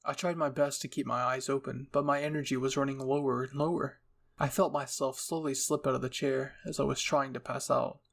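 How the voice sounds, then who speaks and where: A man's voice: mid-range voice, little pitch movement, fairly quick, gravelly. A man reading fan fiction aloud as a narrator, in a scripted, dramatic storytelling style.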